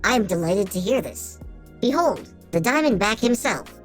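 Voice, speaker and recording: Officious floating robot guide for a tabletop game, played by a man: raised, swooping pitch, stilted formality, music underneath. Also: very animated, normal speed. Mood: ceremonious. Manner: stilted, ceremonious.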